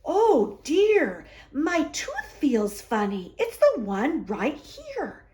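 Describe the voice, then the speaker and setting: A woman's voice: sits high, wildly swooping pitch, extremely slow, a little mellow, exaggerated voice. A woman voicing a worried young male bear in a children's storybook, with anxious questions.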